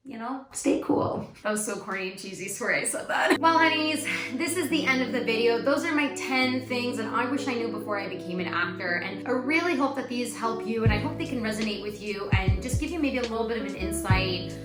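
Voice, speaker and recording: A young woman from Canada, an actor, giving advice in a vlog with a raspy voice, talking emphatically and repeating her points for stress. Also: on the high side, a little fast, hardly any pauses. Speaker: actor and video host. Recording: echoey room, faint music.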